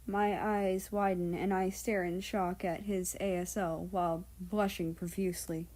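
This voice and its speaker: Female story reader and host, unhurried delivery, soft-edged mellow tone, casual and scripted. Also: fairly low pitch, flat delivery. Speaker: audiobook-style reader and channel host. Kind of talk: scripted story narration and casual outro chat.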